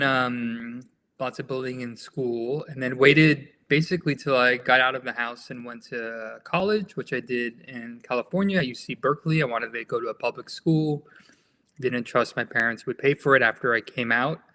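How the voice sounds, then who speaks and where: Bright-voiced American man, pausing often. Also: medium pitch. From United States. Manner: measured, with frequent um and uh pauses. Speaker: nonprofit founder, guest. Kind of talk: conversational interview answers.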